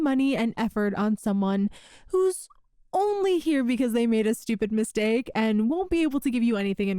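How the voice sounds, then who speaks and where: A woman's voice: high voice, mellow, no room sound, clean studio sound. A woman playing a flustered, apologetic, grateful time traveler from the future in a one-sided ASMR roleplay, hesitant and earnest as she talks to the listener. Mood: apologetic, self-blaming. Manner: hesitant, earnest, self-deprecating.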